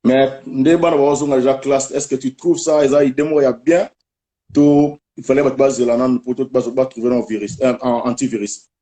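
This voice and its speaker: Mellow-voiced interview host. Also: male voice, medium pitch, steady tone, normal speed. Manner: switching between French and Lingala. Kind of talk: casual live interview.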